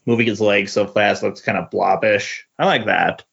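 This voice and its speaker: Halting, lowish-voiced man in casual talk. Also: fairly even intonation, creaky, a bit bright, husky. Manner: halting, repeats words as he thinks. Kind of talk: casual comic book discussion. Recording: dull lo-fi voice recording.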